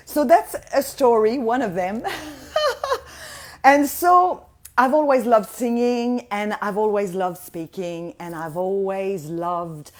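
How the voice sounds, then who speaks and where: A woman with a French accent giving a gushing, effusive motivational talk to an online audience, often restarting her sentences. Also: medium pitch. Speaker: guest speaker and mentor.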